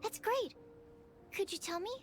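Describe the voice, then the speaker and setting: A woman's voice: extremely high pitch, unhurried, a bit bright. A woman playing a shy, earnest young female alchemist in a video game, speaking politely and hesitantly with careful, precise wording. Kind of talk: English video game voice acting. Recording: music clearly behind the voice. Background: game music.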